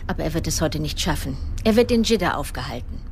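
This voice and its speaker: A woman's voice: sits low, animated, fast talker, gravelly, darkish tone, slight background noise. A woman acting a courteous, formal young receptionist in a naturalistic radio drama. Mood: courteous, evasive. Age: young adult. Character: Maha, young female receptionist, courteous and unhelpful.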